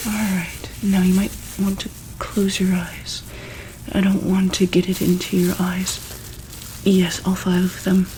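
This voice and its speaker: A woman doing a soft-spoken, often whispered ASMR roleplay as a calm, caring medic, with a gentle, reassuring bedside manner. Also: mid-range voice, unhurried, a little hiss or hum, low-quality recording. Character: calm, caring female medic at a monster hospital. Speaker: ASMR roleplayer playing a monster hospital medic.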